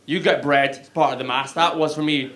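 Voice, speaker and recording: A young Glaswegian man working a comedy club crowd, his voice sitting notably high for a man. He rambles through his stories in a loose, self-mocking way, leaning on "like" and "you know". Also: fairly even intonation, a little fast, fairly bright tone, slight background noise. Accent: Glaswegian Scottish. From Scotland. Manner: chatty, self-deprecating storytelling with frequent "like" and "you know". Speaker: stand-up comedian. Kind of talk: stand-up set. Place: comedy club stage with audience laughing.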